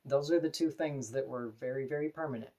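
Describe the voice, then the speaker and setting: A woman with a deep voice, talking to camera in an earnest, opinionated, plain-spoken personal vlog. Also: fairly quick, dark tone. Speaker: vlogger.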